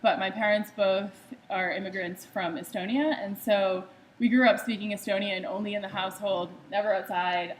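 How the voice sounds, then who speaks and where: A woman's voice: mid-range voice, slight background noise, low-quality recording. Young woman giving an artist talk. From United States. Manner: conversational with frequent ums and uhs. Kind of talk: slide presentation talk.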